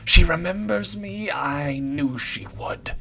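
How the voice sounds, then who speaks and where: A man's voice: a little high, slow pace, creaky, pushed and bright, soft background music, voice pushed up, heavily acted. A man voicing a tormented old homeless woman, strained and erratic, swinging between pleading and shrieking. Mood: manic.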